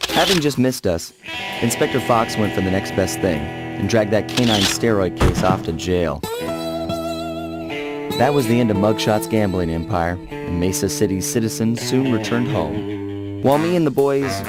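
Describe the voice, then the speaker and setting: A wry young raccoon thief, voiced by a man, cool and laid-back, with a slightly low voice. Loud music sits under his lines in this video game cutscene. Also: moderate pace, close to an everyday voice. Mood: narrating. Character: cocky young male raccoon master thief. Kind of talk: video game narration and dialogue.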